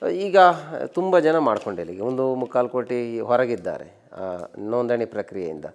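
Indian male interviewer, mid-pitched voice. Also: lively intonation, normal speed, a little mellow. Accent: Indian, Karnataka. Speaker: interviewer, host. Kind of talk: conversational interview questioning.

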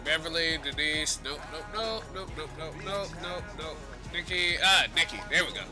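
A man's voice: on the high side, moderate pace, music in the background. A young man with a congested voice giving loose, rambling casual commentary over a video game, swearing now and then. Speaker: gaming commentator.

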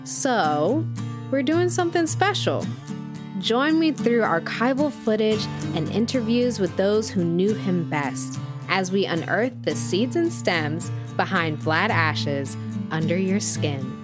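A woman's voice: medium pitch, animated, slow pace. A woman playing an earnest music show host, narrating a scripted audio documentary. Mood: measured narration. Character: female music podcast host, earnest storyteller.

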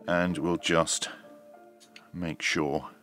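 A middle-aged man giving a measured, explanatory hands-on demonstration, like a reviewer talking through each step. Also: deep voice, flat delivery, fairly bright tone, soft background music. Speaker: radio reviewer.

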